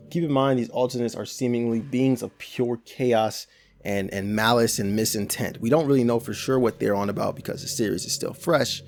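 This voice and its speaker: A man's voice: fairly low pitch, a little mellow, a little hiss or hum. A young adult man giving casual, rambling video commentary full of restarts and slang. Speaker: YouTube commentator.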